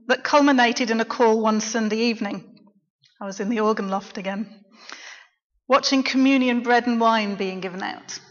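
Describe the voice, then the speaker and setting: A middle-aged woman, a local preacher, giving a quiet, reflective personal testimony in church. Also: a little high. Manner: soft-spoken, reflective. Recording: dull, echoey church recording.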